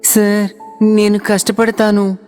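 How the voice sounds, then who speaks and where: An earnest, anxious village boy in a cartoon storybook, voiced by a woman narrator, pitched a little lower and softer than her telling. A long reverb trails each line over soft music. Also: little pitch movement, takes it slowly, lightly acted. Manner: earnest, pleading.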